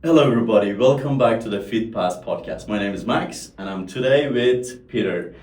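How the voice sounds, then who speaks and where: A man with a non-native English accent hosting a casual podcast interview, friendly and quick to laugh. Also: lowish voice, expressive, faint music behind. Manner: friendly, laughs often, trailing off softly at sentence ends. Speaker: podcast host. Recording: echoey room.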